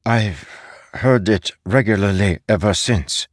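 A man's voice: fairly low pitch, takes it slowly, dry recording, clean studio sound, pitched above the performer's own voice. A man voicing a troubled, dutiful young male warrior in an audiobook, hesitant and earnest.

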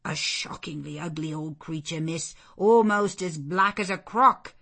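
A woman's voice: sits low, unhurried, creaky, a bit bright, exaggerated voice. Woman acting a plain-spoken, deferential footman. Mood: flustered, respectful.

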